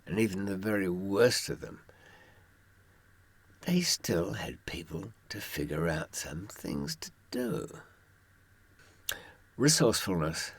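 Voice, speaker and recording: A man with a very low, husky voice talks informally, as if sharing hard-won advice. His intonation moves widely, and his wry, dry asides go straight to the listener. The sound is close and dry. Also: big pitch swings, slow pace, pauses often, no echo. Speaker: author giving prison survival advice from experience. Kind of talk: informal monologue with anecdotes.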